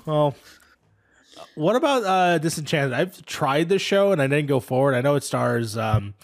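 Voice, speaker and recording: A man's voice: fairly high pitch, lively intonation, moderate pace. A man hosting a casual chat, moving the talk along and asking the others questions. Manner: leads the talk, asks questions. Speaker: podcast host.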